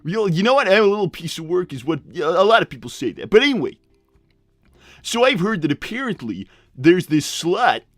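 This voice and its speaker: Glib cartoon animal boss, played by a man: blunt and rambling, highish lively voice, dry close sound, music behind. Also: a little fast, no echo. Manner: rambling, blunt, breezy, many digressions. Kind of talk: improvised live character roleplay.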